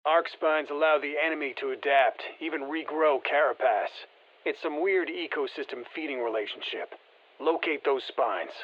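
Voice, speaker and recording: An actor playing an easygoing special forces operator in a video game, giving colloquial, wry mission instructions over a radio. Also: male voice, fairly high pitch, moderate pace, dry recording, highs cut off. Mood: wry, focused. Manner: colloquial, wry, plain-spoken.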